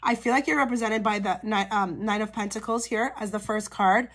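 A woman giving a casual pick-a-card tarot reading straight to her viewers, her voice a little hoarse and cracky from a cold, often checking in with "okay?". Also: medium pitch, steady tone, a little fast, a bit bright. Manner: chatty, frequent "okay?" check-ins with viewers. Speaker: tarot reader.

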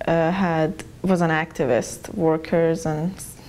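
A young woman from Iran, interviewed in a small plain room on a lapel mic, telling her story in a thoughtful, soft-spoken way with some restarts. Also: low-pitched, fairly even intonation, slow, a little hiss or hum. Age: young adult. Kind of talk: personal account.

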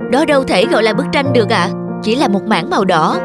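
A woman playing a curious young man in a dubbed cartoon, speaking politely and eagerly to an elder. The voice sits rather high for a young man. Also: animated, fast, loud music behind the voice, natural voice. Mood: earnest.

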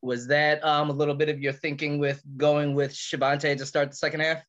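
A man's voice: fairly high pitch, level tone, a little fast, a bit bright, muffled recording. A man reporter asking a coach postgame questions, hesitant with fillers. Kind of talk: press conference questions.

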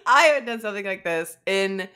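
A woman's voice: medium pitch, lively intonation, brisk, bright, forceful tone, clean tone. A woman in her thirties co-hosting a podcast in casual chat. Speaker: podcast co-host.

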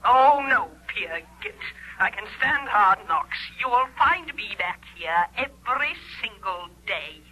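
Cackling, sharp-voiced old troll hag. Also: female voice, mid-range voice, expressive, slow, full character voice. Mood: menacing. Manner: taunting, menacing. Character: spiteful old troll hag, jilted and mocking. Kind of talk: 1940s radio acting. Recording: noisy, thin vintage 1946 radio recording.

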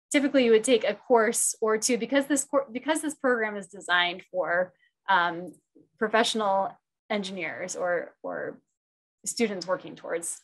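A woman in her forties, a program chair, answering questions on a video call through wired earbuds. She pauses often and rethinks her answers as she goes. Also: mid-range voice. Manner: hesitant, many fillers and restarts, thinks aloud. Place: room at home.

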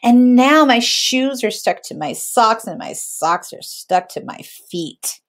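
A woman's voice: a little high, expressive, takes it slowly, pitched above the performer's own voice. A woman voicing an upset little girl crocodile in a storybook, complaining in a childlike way. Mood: aggrieved.

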